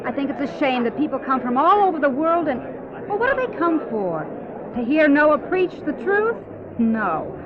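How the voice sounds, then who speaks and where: High, swooping, skeptical sister. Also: female voice, sing-song, moderate pace. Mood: scornful doubt. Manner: incredulous, emphatic. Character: skeptical, scornful sister doubting the prophet. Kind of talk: dramatized Bible radio play. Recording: muffled old tape, crowd behind.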